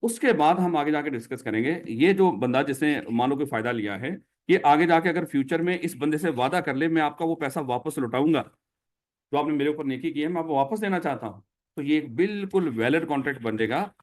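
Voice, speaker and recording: A man's voice: on the high side, a little fast. Pakistani man lecturing a class. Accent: Pakistani Urdu. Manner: explains by asking the class questions. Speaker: teacher.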